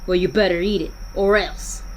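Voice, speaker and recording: A woman's voice: on the low side, steady tone, moderate pace, lightly acted. A woman voicing a spiteful, uneasy male cowherd in a storybook tale, speaking emphatically. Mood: mean, taunting. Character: bored, spiteful young-to-middle-aged male cowherd, growing uneasy. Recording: clearly noisy background.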